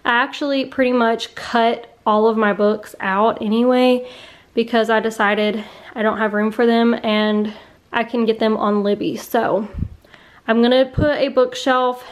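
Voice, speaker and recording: Chatty young woman on a house tour. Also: a little high. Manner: chatty, offhand, trails off mid-thought. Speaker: vlogger giving a home tour. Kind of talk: casual walkthrough narration. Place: rooms of a mobile home. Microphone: handheld camera while walking.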